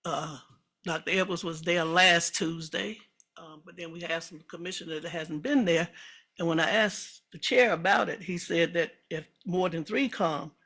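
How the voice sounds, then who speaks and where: A woman with a loud voice, making an earnest, emphatic public comment at a county meeting, with a slight frog in her throat. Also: on the low side, normal speed. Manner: earnest, emphatic, reads aloud from notes.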